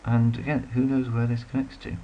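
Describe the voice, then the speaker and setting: A man's voice: medium pitch, level tone, a little fast, dull audio, slight background noise. A man giving rambling, casual commentary while playing a strategy game, full of self-deprecating asides. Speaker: let's play commentator.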